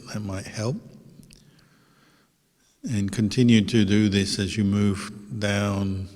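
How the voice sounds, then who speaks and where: A man who is a meditation teacher leads a guided meditation in gentle, unhurried instructions with pauses. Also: fairly low pitch. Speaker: Buddhist monk, meditation teacher. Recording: long hall reverb.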